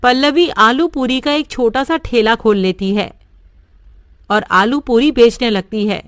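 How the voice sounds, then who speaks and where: Woman telling a cartoon story, steady and simple, kinda high, dry sound. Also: measured pace, dry recording. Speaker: narrator.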